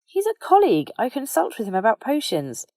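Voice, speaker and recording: A woman voicing a practical, prim, defensive young witch healer in an audiobook, brisk, precise and easily flustered. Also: fairly high pitch, wildly swooping pitch, dark tone, dry recording, clean studio sound, far from the mic, pitched above the performer's own voice. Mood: flustered, indignant. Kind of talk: audiobook character dialogue.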